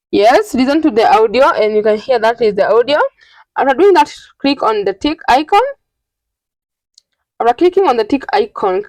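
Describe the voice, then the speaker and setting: A woman narrating an informal step-by-step tutorial in a halting, repetitive, instructional way. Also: medium pitch, darkish tone, no echo, rough recording. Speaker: tutorial host.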